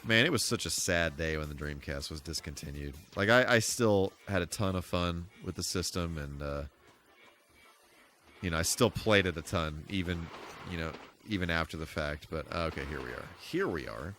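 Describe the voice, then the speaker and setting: A man's voice: sits low, normal speed, fairly bright tone, slight background noise. An American man giving casual, rambling, good-humored commentary on a gaming livestream. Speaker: live streamer. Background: game music.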